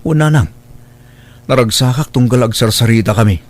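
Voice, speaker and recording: Earnest grown son, mid-pitched man acting. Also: moderate pace, lightly acted. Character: earnest, troubled adult son and father. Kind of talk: Ilocano radio drama acting.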